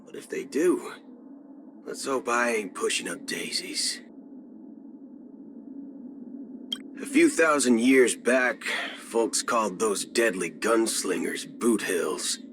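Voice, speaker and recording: An actor playing a cocky, folksy cyborg cowboy outlaw in a video game, with a Southern American drawl. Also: male voice, on the low side, animated, takes it slowly, fairly bright tone, slight background noise, heavily acted. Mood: cocky, menacing. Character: swaggering cyborg cowboy gunslinger outlaw, adult male. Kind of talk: video game character voice lines. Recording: music under the voice. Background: background music.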